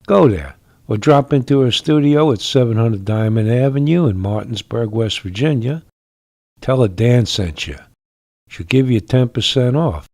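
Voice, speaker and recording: A man delivers a casual ad, leaning in with a streetwise, wisecracking tone. His voice is mid-pitched and the recording is dry and close. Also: expressive, moderate pace, a little mellow, no room sound. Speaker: ad announcer. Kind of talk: casual radio ad.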